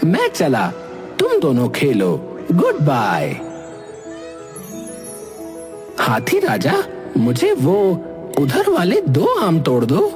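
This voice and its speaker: An actor voicing a playful, dramatic male talking animal in a Hindi cartoon, with exaggerated, drawn-out expression. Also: medium pitch, huge pitch swings, over music. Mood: teasing, playful. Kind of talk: Hindi cartoon character dialogue.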